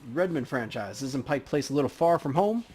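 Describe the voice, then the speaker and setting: A man's voice: medium pitch, lively intonation, brisk, a little mellow, lightly acted. Guy playing a game detective, terse, matter of fact, asking questions. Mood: businesslike. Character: terse, businesslike male detective questioning a witness. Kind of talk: acting out video game dialogue.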